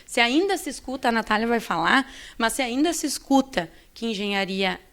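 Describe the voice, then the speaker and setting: A woman's voice: a little high, expressive, a little fast, fairly bright tone. A woman engineer on a panel, closing her remarks in an earnest, rallying tone.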